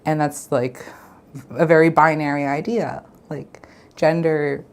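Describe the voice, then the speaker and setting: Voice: medium pitch, steady tone, slow. A twenty-one-year-old speaking casually in an interview, thinking aloud. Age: 20s. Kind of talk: interview testimony.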